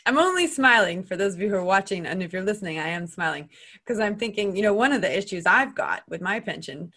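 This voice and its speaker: A woman's voice: medium pitch, animated, fast talker, bright, forceful tone. An American woman hosting a casual podcast conversation, chatty and talking from her own experience with frequent restarts. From United States. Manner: chatty, with many restarts and asides. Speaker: podcast host.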